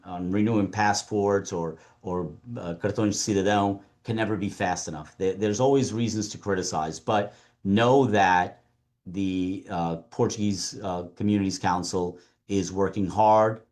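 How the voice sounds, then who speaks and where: A man addresses a conference panel in a fairly low voice. He speaks in a measured, deliberate way and often doubles a word as he gets a phrase going. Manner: measured, repeats words when starting phrases. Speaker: panelist and council member.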